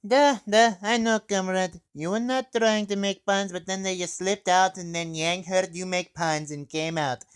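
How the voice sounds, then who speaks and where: A man voicing a brash, wisecracking counter-terrorist operator, teasing and excitable, in a comedy review. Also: high-pitched, normal speed, hardly any pauses, clean tone, natural voice. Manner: loud, teasing, calls people comrade.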